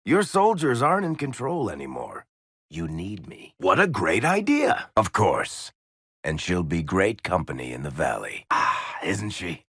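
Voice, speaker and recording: Wry dwarf smuggler from a video game, low voice, glib n teasing, really lively delivery, dry close sound. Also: male voice, a little slow, slightly rough, no room sound, heavily acted. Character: wry dwarf rogue storyteller, shifty charming smuggler type. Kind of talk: video game character dialogue.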